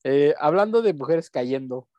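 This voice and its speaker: A man's voice: fairly high pitch, animated, measured pace, no echo, dull audio. A young man with a Mexican accent, co-hosting a podcast in slangy, rambling casual chat. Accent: Mexican Spanish. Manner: slangy, rambling, breaks into bits of song. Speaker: podcast co-host.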